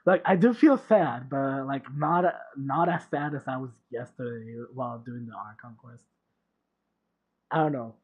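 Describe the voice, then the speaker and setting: A game streamer giving casual reaction commentary in a halting way, often repeating words. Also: mid-range voice, husky. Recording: dull lo-fi mic. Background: game music.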